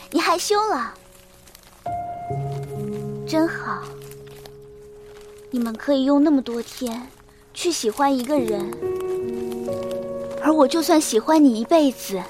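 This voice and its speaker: A woman's voice: high-pitched, lively intonation, slow, pauses often. A woman playing a tender, wistful young woman in a sci-fi radio drama. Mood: earnest, teasing. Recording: music bed under the voice.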